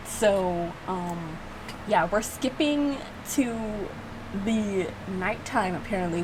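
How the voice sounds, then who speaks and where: A woman's voice: mid-range voice, noisy background. A woman giving casual reaction commentary on her own work, reading bits aloud in a giggly, self-mocking way. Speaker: video creator reacting to own visual novel. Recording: music behind the voice. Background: music.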